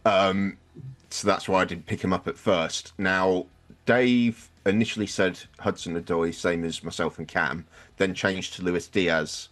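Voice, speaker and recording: Deep-voiced man chatting on a sports podcast. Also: fairly even intonation, unhurried, a bit bright. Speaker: podcast host. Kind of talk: casual sports podcast discussion.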